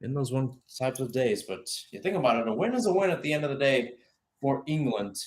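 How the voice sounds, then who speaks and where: A man's voice: medium pitch, animated, a little fast, a little mellow. Young man calling live soccer play-by-play. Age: young adult. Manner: conversational asides between clipped calls of the play. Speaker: play-by-play commentator. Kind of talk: live radio soccer commentary.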